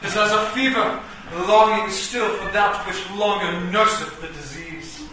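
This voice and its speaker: A lovesick knight of the chessboard acted by a man, highish and bright, declaiming in florid Shakespearean style. The comic radio play rings with strong echo. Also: steady tone, unhurried, recorded from a distance. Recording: echoey, faintly noisy stage recording from afar.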